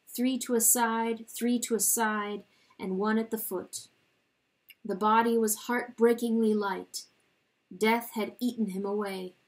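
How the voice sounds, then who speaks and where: A woman reading a literary fable as a dramatic narrator, with solemn, measured and deliberate phrasing. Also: a little high, low-quality recording. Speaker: audiobook reader.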